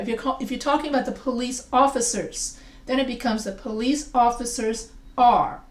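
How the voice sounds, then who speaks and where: A woman teaching a recorded grammar lesson. English is not her first language, and she explains slowly, often repeating and restarting phrases. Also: a little high. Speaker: writing teacher. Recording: echoey room, a bit far from the mic.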